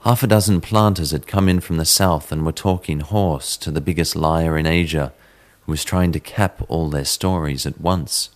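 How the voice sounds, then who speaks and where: A man giving a measured, theatrical dramatic reading of a classic horror story as narrator, in the manner of a storyteller. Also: extremely low pitch. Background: sitar music.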